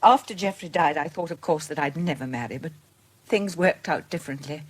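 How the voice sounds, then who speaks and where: Husky, earnest British woman. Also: low voice, a little fast. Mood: wistful, regretful. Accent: British English. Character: gentle, independent middle-aged woman with a wretched marriage. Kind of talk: period radio drama acting.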